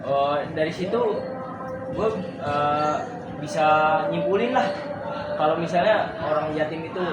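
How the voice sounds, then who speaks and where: A young man chatting casually as a podcast co-host, pausing often with fillers. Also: on the high side, echoey room, far from the mic, over loud music, rough recording. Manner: hesitant, many fillers. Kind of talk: casual chat.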